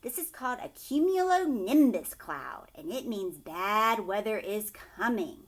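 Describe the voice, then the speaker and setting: Animated woman teaching young children. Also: mid-range voice, a little slow. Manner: expressive, addressing young children with simple questions. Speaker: teacher reading aloud to children. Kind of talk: children's read-aloud lesson, explaining and asking questions. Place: living room at home, indoors. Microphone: webcam or laptop at arm's length.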